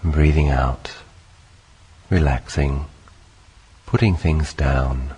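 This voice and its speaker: A man leading a guided meditation, speaking calmly in short, unhurried phrases with long pauses between them. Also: a bass voice, slightly rough. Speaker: meditation teacher.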